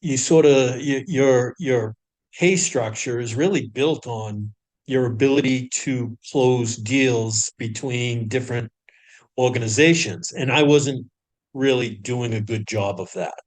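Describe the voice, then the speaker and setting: A middle-aged man with an average-pitched voice speaks as a podcast guest. He talks reflectively and carefully, pausing and restarting his sentences as he works through his thoughts. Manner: thoughtful, hesitant, with frequent restarts and pauses. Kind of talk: reflective conversational storytelling.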